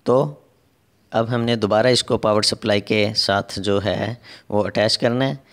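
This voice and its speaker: A man's voice: fairly low pitch, steady tone, unhurried. A man narrating a step-by-step repair tutorial as an instructor, in a methodical way with repetitive phrasing.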